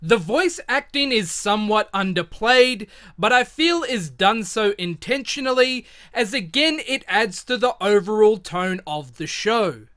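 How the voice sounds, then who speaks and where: A man in his thirties narrating a scripted retrospective review in a measured, reflective way. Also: sits high. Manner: measured, reflective, even delivery.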